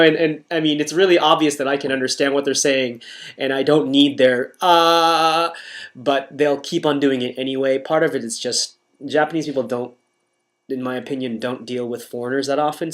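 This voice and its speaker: A young American man with an American accent, talking casually to camera in a halting way, often breaking off and restarting his sentences. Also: mid-range voice. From United States. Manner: halting, self-interrupting, frequent restarts. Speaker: vlogger.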